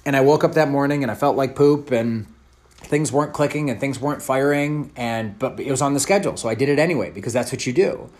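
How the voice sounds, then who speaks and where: A man's voice: mid-range voice, fast, a little mellow. A man in his thirties, a fitness coach, answering a question in an earnest, thoughtful way, often restarting his sentences. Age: 30s.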